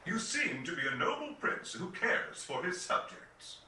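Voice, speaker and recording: Sly high-voiced villain's aide, lively. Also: male voice, unhurried, slight background noise, heavily acted. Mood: sly, courteous. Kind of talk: dramatic video game cutscene acting. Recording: echoey, distant, faint music.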